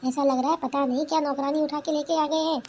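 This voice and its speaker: A woman's voice: high-pitched, steady tone, quick pace, darkish tone, natural voice. A woman voicing a defiant, complaining young daughter-in-law in a comedy cartoon. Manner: indignant, complaining, talks back. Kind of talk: Hindi cartoon family comedy dubbing.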